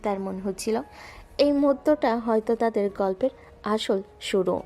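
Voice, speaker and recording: A woman narrating a romantic audio drama in a calm, steady storytelling voice. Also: on the high side, normal speed, soft background music.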